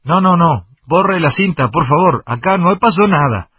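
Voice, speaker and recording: A mock referee with a faint Latin lilt, voiced by a man on the radio, halts and dismisses the call. The voice is husky and sits a bit high. It comes through a dry, muffled broadcast sound. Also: lively intonation, moderate pace, no room sound. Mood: mock official. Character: man doing a Latin-accented Brazilian referee. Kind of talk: radio banter.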